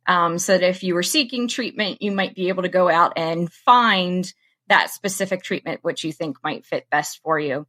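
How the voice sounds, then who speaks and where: A woman with a slightly nasal voice co-hosting a therapy podcast, chatting casually with frequent laughs. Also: on the low side. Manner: bubbly, laughs often, lively intonation. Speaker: podcast co-host, social worker.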